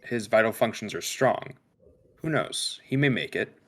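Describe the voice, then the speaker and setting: A man playing a calm, detached spaceship science officer with a measured, clinical delivery in a sci-fi script table read. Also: fairly low pitch. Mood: clinical, intent.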